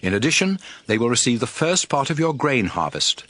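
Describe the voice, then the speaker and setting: A man playing a solemn prophet lawgiver in a dramatized audio Bible, addressing his people with emphatic, deliberate, instructive phrasing. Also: medium pitch, sing-song, fairly bright tone, a little hiss or hum. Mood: solemn, commanding. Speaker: scripture narrator.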